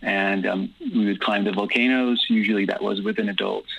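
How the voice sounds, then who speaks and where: A man's voice: on the low side, steady tone, normal speed, gravelly, a bit bright, husky, lo-fi sound. A man who grew up in Guatemala and speaks Dutch as his first language, answering interview questions in easygoing, thoughtful English with no accent and plenty of ums. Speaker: guest. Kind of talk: relaxed conversational interview answers.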